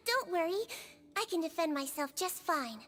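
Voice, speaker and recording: A woman's voice: extremely high pitch, expressive, measured pace, a bit bright, soft background music, exaggerated voice. A woman voicing a small, sweet, earnest girlish nurse in a video game. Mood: earnest, polite.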